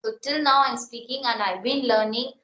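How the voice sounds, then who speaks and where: A young woman with a Tamil accent talks as an interview guest, pausing to search for words. Her voice sits a little high. It rings in an echoey room with faint background noise. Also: bright, forceful tone. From South India. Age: young adult. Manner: halting, searching for words, non-native phrasing. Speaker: interview guest, college student. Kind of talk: casual interview chat.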